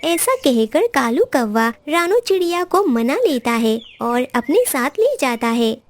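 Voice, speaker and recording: A woman's voice: high-pitched, very animated, a little slow. A woman narrating a scripted children's animal story as the narrator.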